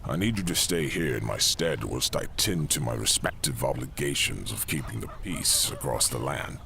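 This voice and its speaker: Fantasy audio drama king, played by a man: very low, gravelly, fatherly and stern about duty, speaking formally. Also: animated, moderate pace, sharp tone, a little hiss or hum, heavily acted. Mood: gently firm. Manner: formal, archaic medieval speech.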